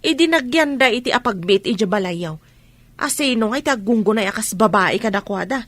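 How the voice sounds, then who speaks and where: A woman's voice: a little high, expressive, a little fast, a bit bright, dry recording. A woman playing a nosy, teasing village gossip with an Ilocano accent in a radio comedy drama.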